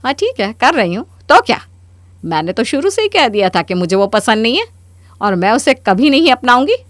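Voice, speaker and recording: A woman voicing a spiteful, scheming middle-aged mother-in-law in a cartoon story, with a sharp, taunting, bossy delivery. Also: a little high, sing-song, brisk, dry recording. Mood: spiteful, stubborn. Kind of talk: Hindi cartoon story dialogue.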